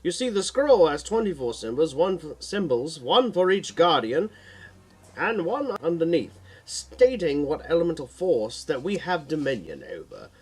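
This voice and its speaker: A man's voice: a little high, sing-song, moderate pace, a little mellow, slightly rough, low-quality recording. A man voicing an earnest, knowledgeable male guardian hero in an audiobook, explanatory with an urgent edge. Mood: explaining.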